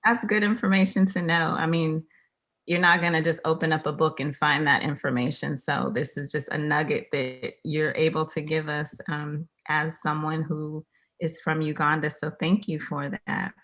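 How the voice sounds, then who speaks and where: Low-voiced woman interviewer, encouraging. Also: little pitch movement, normal speed, fairly bright tone. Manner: encouraging, affirming replies. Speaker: interviewer host. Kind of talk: friendly interview questions.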